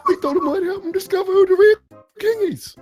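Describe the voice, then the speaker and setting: A man voicing a fussy royal retainer from a storybook in a shrill, cartoonish delivery. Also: brisk, a little mellow, soft background music. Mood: earnest.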